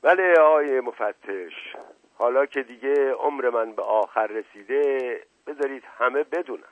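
Man as melodramatic gentleman suspect, tinny audio. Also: mid-range voice, animated, slightly rough. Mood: lovelorn, theatrical. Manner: halting, sighing, melodramatic. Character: wily aristocratic gentleman suspect, mock-bashful and theatrically lovelorn. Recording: roomy lo-fi radio-like narrow band.

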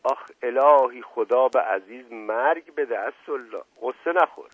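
Casting: male narrator as melodramatic storybook matron, coquettish and domineering, raised husky voice, thin roomy recording. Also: medium pitch, close to an everyday voice. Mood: tender, coquettish. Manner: wailing endearments, sharp retorts. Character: volatile, coquettish middle-aged wife, dramatic and domineering. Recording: roomy lo-fi radio-like narrow band.

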